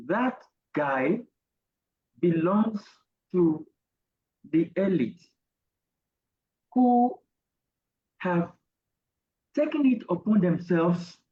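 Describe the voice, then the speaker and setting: A middle-aged Nigerian man, an interview guest, telling a personal story in a deliberate, halting academic way. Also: high-pitched, reverberant, dull audio. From Nigeria, Ogun State. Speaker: interview guest, university professor.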